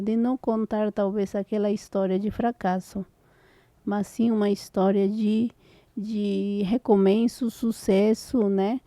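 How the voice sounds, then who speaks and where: A woman's voice: medium pitch, warm tone, no room sound. A woman from Peru with a Peruvian Spanish accent, chatting in Portuguese as a co-host, hesitant and searching for words. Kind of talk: casual conversation.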